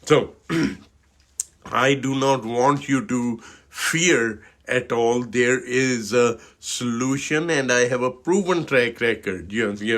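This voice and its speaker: A middle-aged man from India with an Indian accent, presenting a webinar as a financial advisor in a halting style full of fillers like "you know, okay". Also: mid-range voice, fairly bright tone.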